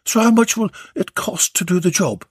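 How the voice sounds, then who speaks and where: A storyteller voicing a bold, flirtatious woman who talks briskly and eagerly. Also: medium pitch, animated, breathy edge, no room sound, voice pushed up.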